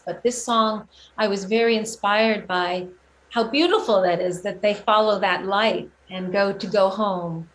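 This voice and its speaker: Woman, interview guest and teaching artist. Earnest, halting, conversational; mid-range pitch, noisy recording. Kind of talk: casual interview talk, explaining own work. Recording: clear steady background noise.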